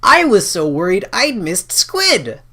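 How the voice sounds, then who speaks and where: A man voicing an eager, anxious young male gamer in an excitable, pleading way for a story. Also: sits high, slow pace, pitched above the performer's own voice, full character voice.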